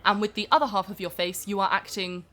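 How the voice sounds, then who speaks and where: Deadpan woman in a comic interview. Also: medium pitch, fast talker. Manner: deadpan, earnest, explanatory. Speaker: comic interview guest playing an actress. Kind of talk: improvised mock celebrity interview.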